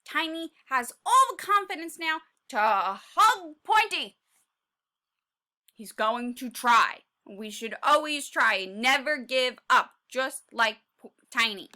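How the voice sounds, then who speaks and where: A woman reading a story aloud as a teacher, expressive and encouraging, pausing to put questions to the children. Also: high voice. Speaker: second grade teacher.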